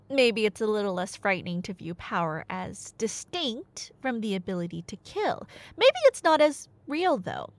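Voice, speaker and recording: Woman acting a nerdy girlfriend, expressive swooping voice, dry close sound, playful rambling, dramatic pauses, ASMR storytelling. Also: medium pitch, no room sound. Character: nerdy girlfriend rambling excitedly about Greek myths. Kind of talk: conversational ASMR roleplay storytelling.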